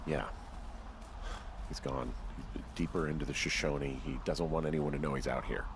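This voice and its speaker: An actor playing a weary, plain-spoken middle-aged fire lookout in a video game, speaking in a terse, subdued, halting way. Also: male voice, rumbling low, fairly even intonation, background noise. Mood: grave. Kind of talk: naturalistic video game dialogue.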